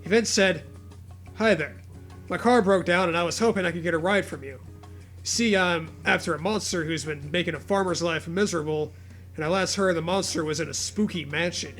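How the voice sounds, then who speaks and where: A man's voice: high voice, a little fast, fairly bright tone, faint music behind. A man giving casual comedic commentary and mock story readings in a mocking, sweary manner. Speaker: podcast co-host.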